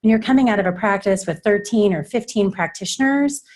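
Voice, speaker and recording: A woman presenting a workshop, explaining points in a measured way. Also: medium pitch, a little mellow. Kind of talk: leading a workshop discussion.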